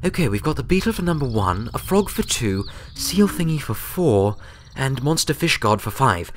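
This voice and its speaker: A man voicing a bright, excitable young female pony scholar in a storybook reading, eager and earnest. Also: mid-range voice, moderate pace, pitched above the performer's own voice. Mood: matter-of-fact. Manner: eager, earnest, thinking aloud. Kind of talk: storybook character voice.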